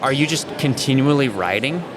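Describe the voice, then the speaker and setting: A man's voice: medium pitch, lively intonation, normal speed. An American man co-hosting a podcast, chatting in an informal, rambling way. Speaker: podcast co-host. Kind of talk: casual chat. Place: live at an event being packed up. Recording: clattering teardown noise, busy event. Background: things dropped, loud ambient clatter.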